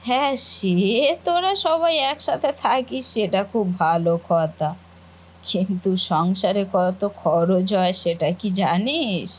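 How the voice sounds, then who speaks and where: A woman's voice: medium pitch, animated, husky. A woman voicing a scheming, nosy older aunt in a cartoon family drama, with an insinuating, gossipy manner. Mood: insinuating, suspicious. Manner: insinuating, gossipy, drawn-out questioning.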